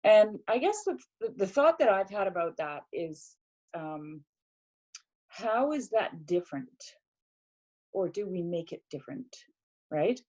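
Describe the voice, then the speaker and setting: A woman's voice: medium pitch, sing-song, a little slow, long pauses, darkish tone, lo-fi sound. A middle-aged woman from Canada in casual conversation, talking reflectively with many fillers and restarts. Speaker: podcast guest.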